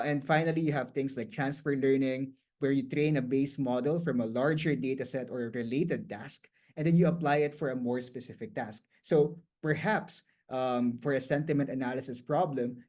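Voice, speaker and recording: A man whose first language is Tagalog, giving a guest lecture in a loose, conversational way, often restarting phrases and filling pauses with "um" and "like". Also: medium pitch, darkish tone. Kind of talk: explanatory lecture.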